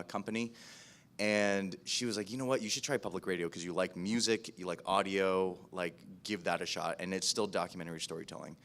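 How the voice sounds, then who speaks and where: A man's voice: sits low, fairly bright tone. An American man around his thirties, a podcast producer telling his career story in an informal, self-deprecating way at a panel.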